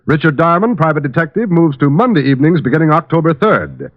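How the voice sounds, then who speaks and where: A man's voice: on the high side, very animated, quick pace. A man announcing in the formal style of 1940s network radio. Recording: muffled old radio recording, music behind.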